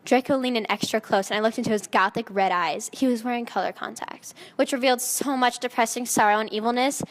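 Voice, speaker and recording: A woman's voice: fairly high pitch, steady tone, fast talker. A woman narrating fan fiction in a mock-dramatic style, often close to giggling at the text. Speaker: narrator and reader. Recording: long echoing reverb.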